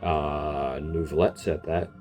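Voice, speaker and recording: A game streamer giving casual, expressive, exclamatory reactions to a story. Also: rumbling low, takes it slowly. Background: game music.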